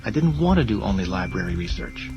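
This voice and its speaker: A man's voice: on the low side, very animated, quick pace. A man reading scripted first-person narration in the style of a dramatized audiobook. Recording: dull lo-fi audio under music. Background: music.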